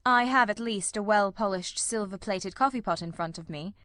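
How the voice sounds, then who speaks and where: A woman plays a shrewd male detective in a dramatized audiobook. The delivery is measured and precise, with a condescending self-assurance. The mid-pitched voice sits in a very dry recording. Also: a bit bright, no echo, close to an everyday voice. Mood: probing, brisk.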